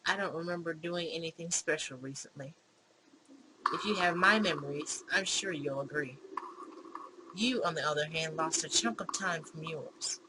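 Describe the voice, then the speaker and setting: A woman's voice: deep voice, normal speed, close to an everyday voice. A woman voicing a bewildered, argumentative man in a visual novel, with an indignant, pedantic and sarcastic manner. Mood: smug, accusing. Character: bewildered, argumentative man facing his own double. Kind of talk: visual novel character read-aloud. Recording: clear background noise.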